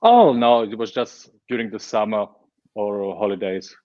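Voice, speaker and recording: A man's voice: on the low side, expressive, normal speed. Man in his forties, lo-fi sound. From Slovakia. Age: 40s. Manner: chatty, laughs easily, many fillers and restarts. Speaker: guest. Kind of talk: casual interview talk.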